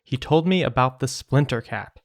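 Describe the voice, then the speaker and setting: A man's voice: medium pitch, moderate pace, no echo, studio quality. A man hosting a podcast, chatting playfully and reading listener stories aloud in a casual, punchy way. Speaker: podcast host.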